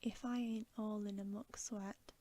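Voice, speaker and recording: Lady doing a big dim polite giant, rustic talk, whispery n slow, storybook asmr. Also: medium pitch, level tone, dark tone, a little hiss or hum, natural voice. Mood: puffed, polite. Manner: rustic, unschooled, courteous speech. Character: huge polite, dim-witted male giant, puffed out.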